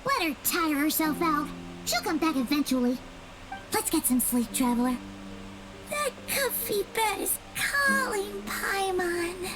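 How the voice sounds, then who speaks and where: A woman voicing a tiny childlike fairy companion in a video game, squeaky, cheeky and excitable. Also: unhurried, a loud crowd, full character voice, rough recording. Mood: earnest, huffy. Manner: exclamatory, refers to self in third person.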